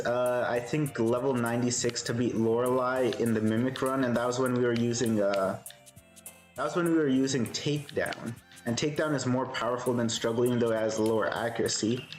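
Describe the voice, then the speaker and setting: A man live-streaming a game, with a soothing voice, thinking aloud in a relaxed way and answering chat. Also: mid-range voice, reverberant.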